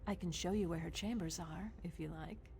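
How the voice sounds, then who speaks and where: An actress playing a poised, sly woman of a warrior order in a video game, with measured, silky, teasing speech. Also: on the low side, close to an everyday voice. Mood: smooth, teasing. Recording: long reverb, loud ambient noise.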